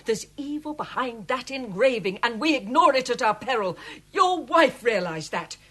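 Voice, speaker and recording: An earnest, anxious woman in a period ghost story. Her voice is husky and breathy, swinging widely in pitch, with an intense, pleading delivery. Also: expressive, normal speed, a bit bright. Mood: insistent. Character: earnest, anxious woman gallery official, believer in evil. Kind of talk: period radio drama acting.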